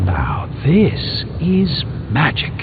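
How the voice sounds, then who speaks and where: An actor playing an erudite, dryly witty sorcerer in a video game, with pompous, theatrical, ornate delivery. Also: male voice, on the high side, huge pitch swings, extremely slow, noisy background, heavily acted. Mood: intrigued, appraising. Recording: muffled phone-like band, music behind.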